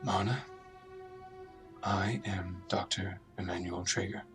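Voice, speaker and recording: Man acting a slow, uneasy doctor, roomy sound. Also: deep voice, steady tone, recorded from a distance, over music. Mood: uneasy, clinical. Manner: halting, formal, self-questioning. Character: uneasy, conscientious doctor on a psychiatric ward, squeamish. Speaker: actor in a radio drama. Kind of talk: radio drama acting, internal monologue.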